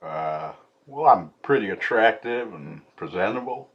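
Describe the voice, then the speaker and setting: A man's voice: on the low side, animated, measured pace, creaky, dull audio. A man on a prank call, mocking and fake-apologetic, laughing between lines. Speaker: prank caller.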